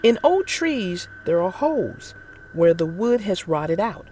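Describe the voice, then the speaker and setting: A narrator reading a children's story aloud in an expressive, theatrical and deliberate way. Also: mid-range voice, slight background noise. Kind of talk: expressive scripted read-aloud for young children.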